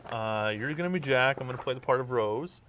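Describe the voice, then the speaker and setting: A man's voice: medium pitch, animated, a little fast, fairly bright tone, highs cut off, a little hiss or hum. A man co-hosting a show in casual, joking chat. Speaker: podcast co-host.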